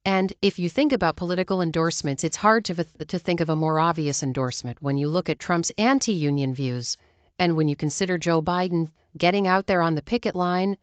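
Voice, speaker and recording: A woman's voice: on the low side, a little fast, no echo. An American woman giving opinionated political commentary in an emphatic, conversational way, often posing rhetorical questions.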